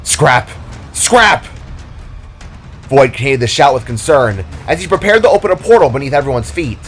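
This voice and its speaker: Man narrating scripted fantasy action, dramatic and animated, mid-range voice over faint music. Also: medium pitch, quick pace. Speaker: author and narrator.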